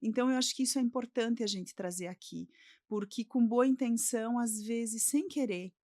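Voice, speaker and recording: A middle-aged woman's voice, a little high for her sex, recorded dry with almost no room sound. She is a podcast guest from Brazil telling her own story thoughtfully, often tagging her sentences with "né?". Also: moderate pace, dark tone, dry recording. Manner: reflective, often ending with "né?". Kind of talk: personal storytelling.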